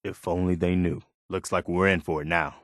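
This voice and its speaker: Male actor as principled militia officer, video game companion, lowish pitch, sincere, plain-spoken, morally firm, dry studio sound. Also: level tone, normal speed, dry recording, natural voice. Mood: curious, bemused. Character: earnest, principled militia officer, loyal but easily disappointed.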